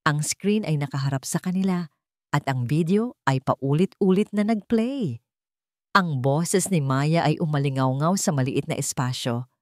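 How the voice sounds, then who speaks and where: Low-voiced woman narrating dramatic stories. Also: no echo, studio quality. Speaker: storyteller. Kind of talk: dramatic scripted story narration.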